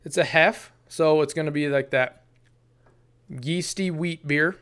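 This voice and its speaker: A man in his thirties talks casually to his camera about a beer, wandering off topic and filling his pauses with ums. His voice is smooth and clear and sits a little high for a man. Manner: relaxed and rambling, many ums and restarts. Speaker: beer review vlogger. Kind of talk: casual solo review. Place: small room, indoors, green screen. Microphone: desk camera, framed from the chest up.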